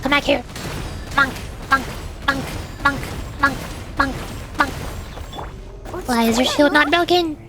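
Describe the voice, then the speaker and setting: A woman's voice: high voice, slow, pauses often. A woman streaming a game with casual live commentary, playful and theatrical, often in short exclamations. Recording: game music behind the voice.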